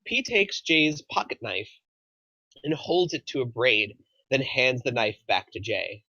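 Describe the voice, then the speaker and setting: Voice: mid-range voice, very animated, a little slow, pushed and bright, no room sound. A narrator reading out stage directions from a film script at a table read. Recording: dry but dull, muffled audio.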